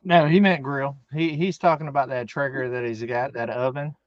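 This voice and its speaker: A man's voice: mid-range voice, fairly even intonation, normal speed, no echo, highs cut off. A man in his forties chatting casually as co-host of a live stream, with folksy, teasing banter. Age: 40s. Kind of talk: casual chat.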